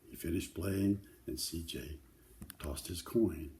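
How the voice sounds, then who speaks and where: A man's voice: low voice, takes it slowly, background noise. An older man reading a children's book aloud, adding simple conversational asides to explain the pictures. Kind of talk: read-aloud storytelling with informal asides to children.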